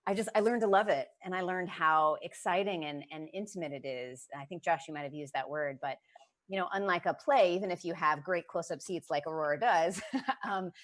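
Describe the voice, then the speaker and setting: A woman with a distinctly low voice talks as a guest on a panel. She is thoughtful and enthusiastic, unscripted, and puts weight on her key words. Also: a little fast.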